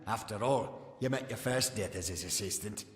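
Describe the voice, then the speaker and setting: An actor playing a smug, menacing gnome scholar villain in a fantasy game, with a condescending, taunting, theatrical manner. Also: male voice, on the low side, moderate pace, close to an everyday voice. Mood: smug, cold. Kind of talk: scripted fantasy game dialogue. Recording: echoey hall reverb, music behind.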